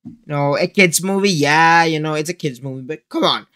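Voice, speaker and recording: A man's voice: on the high side, fairly quick, a bit bright, clean tone. A teenage young man casually chatting as a reaction video host, rambling with hesitant phrasing. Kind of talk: casual trailer reaction chat. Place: small indoor room full of collectibles.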